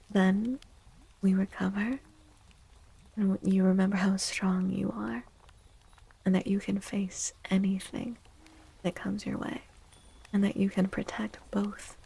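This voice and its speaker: A woman streaming tarot readings in a soft ASMR style, gentle and breathy, often near a whisper with unhurried pauses. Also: medium pitch, flat delivery, low-quality recording.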